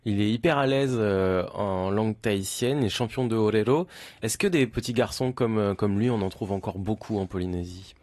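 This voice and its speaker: Low-voiced man hosting a morning show. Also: moderate pace, darkish tone, no echo, studio quality. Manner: friendly, leading questions. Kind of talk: radio and TV interview.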